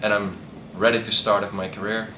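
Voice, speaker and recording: A man's voice: on the low side, flat delivery, fairly quick. A man, a bootcamp graduate, talking in a plain, matter-of-fact way. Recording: echoey room with background noise. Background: ambient noise.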